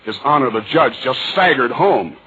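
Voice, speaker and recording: A man's voice: medium pitch, expressive, normal speed, crowd noise, close to an everyday voice. A man playing a stuffy, indignant husband in an old radio comedy, brusque and exasperated. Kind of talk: 1940s radio comedy acting. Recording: dull old radio recording, audience behind.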